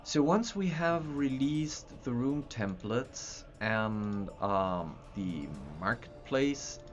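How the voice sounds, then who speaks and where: Man, livestream host, casual rambling chat, stumbles and repeats, muffled lo-fi sound, soft music underneath. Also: medium pitch, lively intonation, extremely slow. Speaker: livestream host showing map software. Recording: dull lo-fi sound with faint music.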